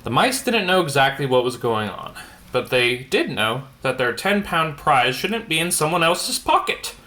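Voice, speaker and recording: A man's voice: on the high side, sing-song, normal speed, pushed and bright, slight background noise. A man reading a children's picture book aloud, stumbling now and then and adding casual comments on the pictures. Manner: stumbles over words and explains the pictures as he goes. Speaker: bedtime story reader.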